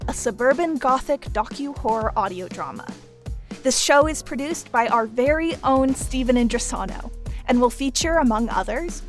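A woman giving an enthusiastic promotional host read as a podcast producer. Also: on the high side.

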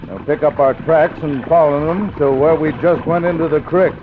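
An actor playing a cunning, bossy outlaw gang leader with an American Western accent, in 1940s radio acting. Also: male voice, on the high side, steady tone, normal speed, lightly acted. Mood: scheming. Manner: scheming, bossy. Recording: tinny, hissy old radio recording.

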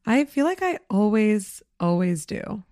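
A slightly hoarse woman co-hosting a podcast, chatting casually, swearing freely and filling with likes and ums. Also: mid-range voice, very animated, a little slow, mellow, dry recording, studio quality. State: a little hoarse. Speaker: podcast co-host. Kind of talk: casual chat.

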